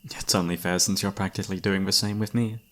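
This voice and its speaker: A man acting as young male knight, lowish voice, courtly, dutiful, teasing childhood friend, romantic fantasy ASMR roleplay. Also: fairly even intonation, brisk. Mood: teasing, fond. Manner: formal, courtly, playfully teasing, soft-spoken sighs.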